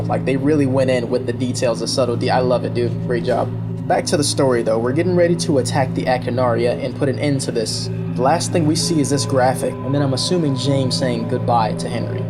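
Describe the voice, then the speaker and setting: A man hosting a video with casual, excited commentary, chatting to viewers in an informal, conversational way. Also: medium pitch. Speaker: video host reviewing a horror series. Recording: loud music behind the voice. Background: loud background music.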